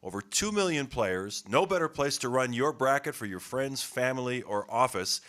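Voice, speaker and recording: A man's voice: mid-range voice, animated, normal speed, fairly bright tone. A man co-hosting a sports podcast, giving a sponsor read with a polished, announcer-like delivery. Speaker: podcast co-host.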